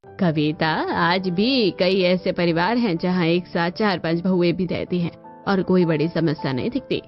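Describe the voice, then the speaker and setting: Woman voicing a cautious elderly grandmother, low voice. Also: normal speed, natural voice. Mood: cautioning. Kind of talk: Hindi cartoon story dialogue. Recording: dull lo-fi audio with music.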